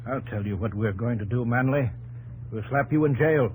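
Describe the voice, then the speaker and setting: An actor playing a hot-tempered, worrying business partner in a 1950s radio drama. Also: male voice, medium pitch, moderate pace, darkish tone, close to an everyday voice, low-quality recording. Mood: indignant, angry. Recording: old radio broadcast, faint noise.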